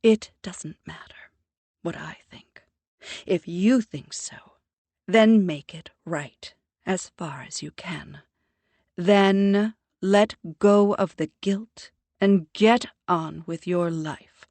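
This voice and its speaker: A woman's voice: on the low side, slow pace, a little mellow, no room sound. Stern Jamaican mother, read by a woman. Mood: weary. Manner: formal, commanding, lecturing. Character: proud composed older Jamaican mother. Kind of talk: audiobook character voice.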